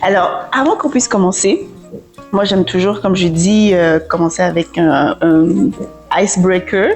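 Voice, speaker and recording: A woman's voice: fairly low pitch, a little slow, faint music behind. A woman hosting a casual interview show, chatting informally and sometimes slipping English phrases into her French. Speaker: host interviewer of a show about returning to the homeland.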